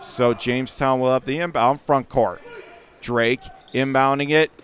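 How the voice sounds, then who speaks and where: A man doing radio-style basketball play-by-play as the announcer, speaking in clipped phrases that lift into excited calls on big plays. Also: mid-range voice, measured pace. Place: high school gym during a game.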